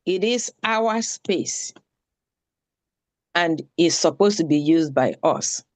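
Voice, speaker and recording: A woman's voice: on the low side, expressive, slow pace. A woman makes an earnest, pleading appeal at an online town hall. She repeats her points for emphasis.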